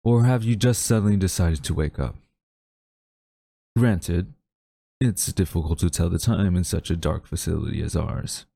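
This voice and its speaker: A male actor plays a haughty government agent with a low, gravelly voice. He addresses his captive former friend with smug, theatrical deliberation and an undertone of regret, in a very dry, close audio drama recording. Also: a little slow, long pauses, warm tone, no room sound, full character voice. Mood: smug taunting. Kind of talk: dramatic audio roleplay monologue.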